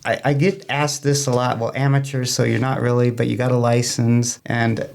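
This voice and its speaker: A man with a gravelly, fry-laden voice talks as an interview guest. He explains things in a plain-spoken, folksy way and rambles a little as he goes. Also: mid-range voice, little pitch movement, normal speed. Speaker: amateur radio club member, guest. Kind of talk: informal interview talk, explaining.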